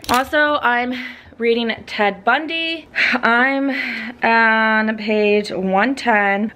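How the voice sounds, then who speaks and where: A woman in her twenties chatting casually as a vlogger at home, a bit hoarse from a sore throat, rambling with lots of "like.". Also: medium pitch, a bit bright, a little hiss or hum. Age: 20s. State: slightly hoarse, losing her voice. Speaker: lifestyle vlogger. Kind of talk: casual vlog chat. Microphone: handheld compact camera.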